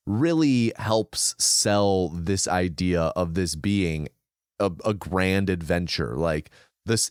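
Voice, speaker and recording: A man with a lowish, smooth and resonant voice talks through movie trivia on a podcast, recorded dry and close. He explains in a relaxed, chatty way, with plenty of "like" and "um" between points. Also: no room sound. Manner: informative, shares researched trivia with frequent fillers. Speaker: podcast co-host. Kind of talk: casual film podcast chat.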